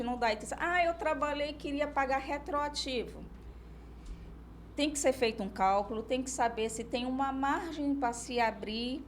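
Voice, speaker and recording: A Brazilian woman with a slightly high voice explains things as a legal expert in a relaxed podcast discussion. She works through hypothetical cases in a conversational way. There is some background noise on the recording. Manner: explains through hypothetical examples and imagined client dialogue. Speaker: lawyer, expert guest.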